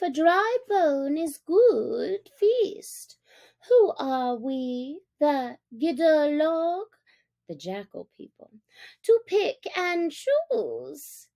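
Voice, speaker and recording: A woman's voice: high-pitched, big pitch swings, mellow, voice pushed up, full character voice. A woman voicing a sly male jackal scavenger in a storybook, fawning and mock-humble with a spiteful streak. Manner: obsequious, mock-humble, drawn-out wheedling.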